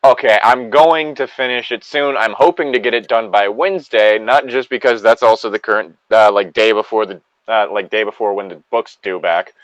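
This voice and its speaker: A man's voice: fairly low pitch, normal speed, few pauses, a bit bright, dry recording, poor audio. A man chatting casually with friends on a voice call, rambling and swearing freely as he goes.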